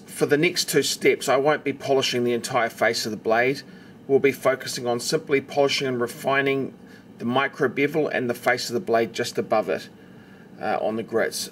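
A man giving a casual, instructional narration, explaining carefully step by step as the presenter of a tutorial. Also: medium pitch. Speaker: knife maker presenting a tutorial. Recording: steady background noise, homemade recording. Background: noticeable background noise.

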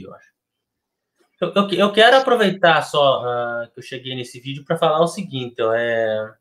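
Host, man in his 30s, bright animated voice with a slightly high pitch, jokey with the audience. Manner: joking, lively, addressing viewers. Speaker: interview host. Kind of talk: casual live-stream interview.

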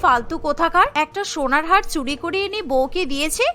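A woman's voice: sits high, brisk, pushed and bright. A woman voicing a spoiled, haughty rich young wife in a cartoon story, boastful, scornful and dramatic. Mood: jealous, scornful.

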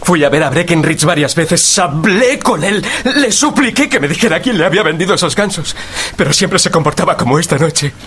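An actor with a Castilian Spanish accent playing a frightened, remorseful thief in a radio drama, pleading and anguished. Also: male voice, on the high side, lively intonation, brisk, a bit bright, a little hiss or hum. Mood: pleading, desperate.

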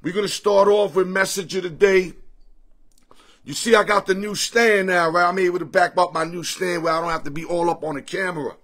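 A middle-aged man with a hoarse, strained voice, hosting a casual sports picks livestream from his home, greeting viewers by name in easygoing slang. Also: sits high, fairly even intonation, fairly quick, a bit bright. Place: home room full of sports memorabilia with a TV. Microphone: phone or webcam at arm's length.

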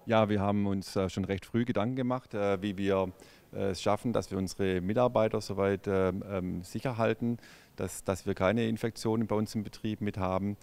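Man, middle-aged, low gravelly voice, hesitant with fillers, handheld mic, big showroom. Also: fairly even intonation, darkish tone. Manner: halting, many fillers and restarts. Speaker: company executive. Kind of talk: on-camera interview. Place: large car showroom indoors. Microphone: handheld microphone.

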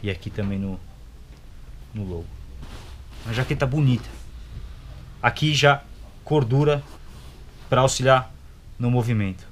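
A man's voice: medium pitch, measured pace, pauses often. A young man with a Brazilian accent giving a casual, slangy product review in short clipped phrases. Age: young adult. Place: indoors in a motorcycle gear shop. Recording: clear background noise, shop ambience.

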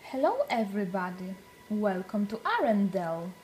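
A woman reading a storybook aloud for young children, with deliberate, expressive phrasing. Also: mid-range voice, over music, low-quality recording.

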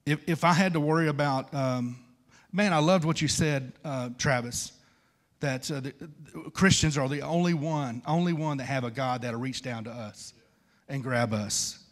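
A man's voice: medium pitch, normal speed. Folksy preacher in his fifties. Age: 50s. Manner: folksy, conversational, repeats phrases for emphasis. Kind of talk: informal church sermon. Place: large church stage at a wooden pulpit.

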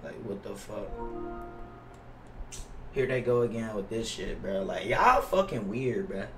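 A man's voice: on the low side, lively intonation, measured pace, reverberant, far from the mic, faint music behind, low-quality recording. A young American man in his twenties chatting casually on a gaming livestream, profane, slangy and repetitive in his griping. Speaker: game streamer. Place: indoor home gaming room. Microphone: headset mic.

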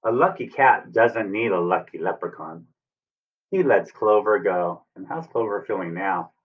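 A man's voice: fairly low pitch, lively intonation, measured pace. An American man in his fifties reading a picture book aloud for young children as a teacher, asking questions and explaining simply. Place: room at home, indoors. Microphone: computer webcam at arm's length or more. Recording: distant, muffled webcam sound.

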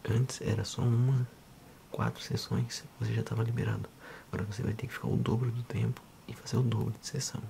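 A man in his thirties with a Brazilian accent, speaking softly and unhurriedly in an ASMR role-play as a physiotherapist addressing the listener. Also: lowish voice, flat delivery, vocal fry, slight background noise. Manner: soft, unhurried, hushed.

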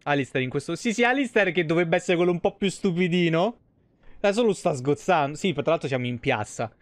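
A man with a smooth, fairly high voice and a Roman Italian accent. He talks like a game streamer chatting to viewers, rambling in colloquial, sweary speech. The sound is dry and close. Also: no echo. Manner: colloquial, swearing, animated digressions. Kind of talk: casual livestream commentary, chatty. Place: small indoor streaming room.

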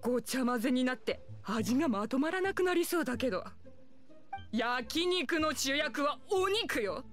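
Proud grown woman chef for anime game: high-pitched, feisty, self-assured, light music behind. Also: animated. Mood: disapproving. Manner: brisk, self-assured, challenging. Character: proud, feisty adult female village meat chef. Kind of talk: anime-style game acting.

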